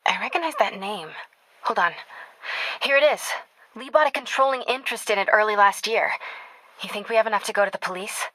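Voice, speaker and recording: An actress with an American accent playing a caring, determined young reporter in a video game, speaking in an earnest, conversational, confiding way. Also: female voice, mid-range voice, fairly quick, pauses often, sharp tone, dry recording, low-quality recording. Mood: focused. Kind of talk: naturalistic video game acting.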